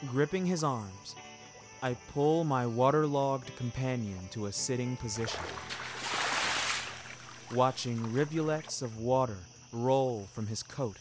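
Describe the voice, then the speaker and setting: A man narrating a scripted first-person fiction podcast in a measured, literary, deliberate way. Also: mid-range voice, faint music behind.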